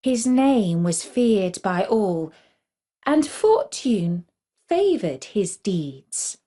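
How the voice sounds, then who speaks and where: A woman reading a scripted fairy tale for children in a measured, deliberate storybook manner. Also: medium pitch, big pitch swings, dark tone.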